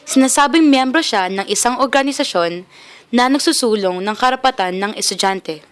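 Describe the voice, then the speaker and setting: A woman's voice: on the high side, moderate pace, clean tone. A young woman with a Filipino accent reading scripted narration for a radio drama.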